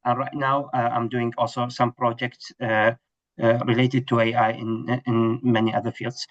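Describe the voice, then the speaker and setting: A man's voice: mid-range voice, little pitch movement, moderate pace, highs cut off. A middle-aged man from Iraq, giving a webinar lecture on research, hesitant with many fillers and restarts. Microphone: video call.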